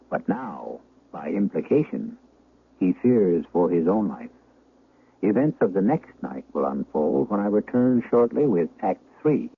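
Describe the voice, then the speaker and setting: A man narrates as host of a vintage radio mystery, deliberate and ominous. His lowish voice is gravelly and full of dramatic rise and fall, on a tinny broadcast.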